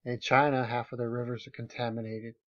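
A man delivers casual commentary over screenshots in an incredulous, sarcastic tone, punching his asides with emphasis. His voice sits in an ordinary middle pitch, and the recording sounds slightly dull and lo-fi. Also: little pitch movement, fairly quick. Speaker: video commentator.

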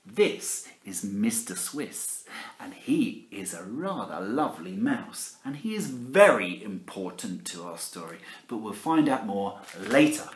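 A man's voice: fairly low pitch, very animated, a little slow, slight background noise. A middle-aged man with an English accent reads a picture book theatrically, with exaggerated emphasis and chatty asides to children. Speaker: primary school teacher reading a storybook. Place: living room at home, indoors. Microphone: camera at about arm's length. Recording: echoey room.

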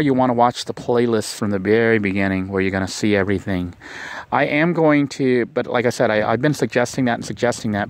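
A man's voice: medium pitch, a little hiss or hum. A man talking outdoors in a casual vlog, giving earnest, rambling advice and repeating himself. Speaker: vlogger. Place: outdoors on a vacant lot.

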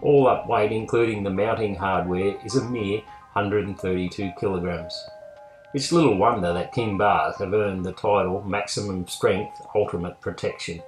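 Male narrator for scripted review voice-over; lowish register, roomy sound. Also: moderate pace. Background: guitar music.

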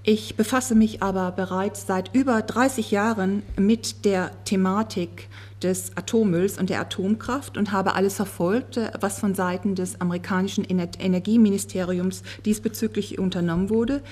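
A woman working as a conference interpreter, turning a speaker's words into steady, fluent speech at a table microphone in a conference room. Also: mid-range voice, moderate pace. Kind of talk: consecutive interpreting.

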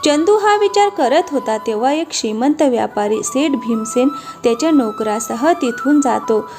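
A woman narrates a children's cartoon tale in a scripted storybook style. Her voice is fairly high, with faint music underneath. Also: animated, moderate pace. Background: light background music.

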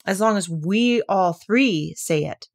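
A woman's voice: mid-range voice, slow, vocal fry. A woman voicing a small, timid, anxious male piglet in a storybook, in a hesitant, worried manner.

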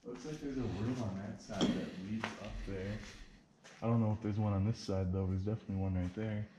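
A man's voice: fairly low pitch, a little fast, very noisy. A young man hosting an urban-exploring vlog, chatting casually and talking straight to viewers as he walks through a large abandoned building. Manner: chatty, addresses viewers directly, excited pointing.

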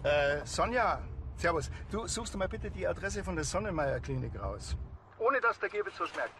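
A small-town Bavarian policeman in a TV crime comedy. He is dry and sardonic, his creaky voice pitched a bit high for a man. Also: sing-song, normal speed, a bit bright, a little hiss or hum, full character voice.

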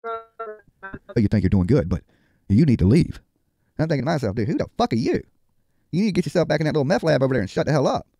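Fast-talking middle-aged man, blunt and streetwise. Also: mid-range voice, sing-song, dark tone, no room sound, studio quality, faint music behind. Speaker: livestream host reacting to a live video. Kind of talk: casual live commentary. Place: indoor home studio. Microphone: headset.

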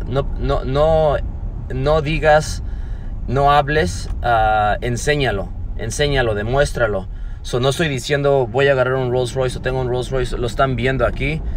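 A man's voice: medium pitch, a little hiss or hum. A man, giving an earnest, rambling motivational talk to a phone camera from inside a parked car, with many fillers and restarts. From Los Angeles, USA. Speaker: motivational vlogger. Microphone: phone at arm's length.

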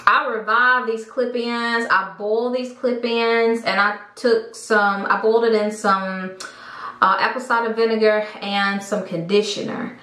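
A woman's voice: on the high side, fairly even intonation, unhurried, sharp tone. A woman in her thirties with an American accent, chatting casually and informally to the camera as a vlogger. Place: parked car and rooms at home. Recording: some background noise.

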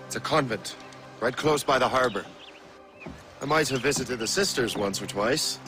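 An actor playing a wry, plainspoken young sea captain in a game cutscene. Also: male voice, mid-range voice, normal speed, lightly acted. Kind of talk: video game cutscene acting. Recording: score music underneath.

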